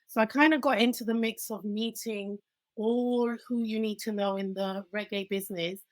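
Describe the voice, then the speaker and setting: An energetic woman with a British accent talks as a podcast guest, quick to laugh. Her voice sits in a middle register and is recorded dry and close, with no room sound. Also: medium pitch, dry recording, rough recording. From London. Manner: energetic, chatty, laughs easily. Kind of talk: casual interview chat.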